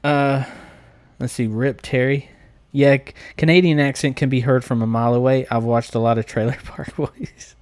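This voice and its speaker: A man's voice: mid-range voice, level tone, moderate pace, darkish tone, no room sound. A man with a Southern American accent chatting informally and jokingly on a live stream as he answers comments. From United States.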